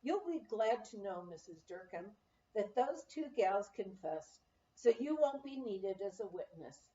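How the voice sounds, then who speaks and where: A woman's voice: medium pitch, lively intonation, a little slow, dark tone, natural voice. Woman reading as a folksy small-town sheriff, echoey. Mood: reassuring. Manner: folksy, plain-spoken. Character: folksy small-town male sheriff, plain-spoken, easygoing. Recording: echoey room, slightly dull audio.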